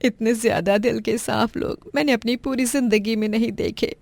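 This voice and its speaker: A woman acts out an elderly village mother in a cartoon moral tale. Her voice is creaky and dry-recorded. She is kindly, grateful and affectionate. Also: fairly high pitch, steady tone, normal speed, a little mellow, dry recording. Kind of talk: Hindi cartoon moral story acting.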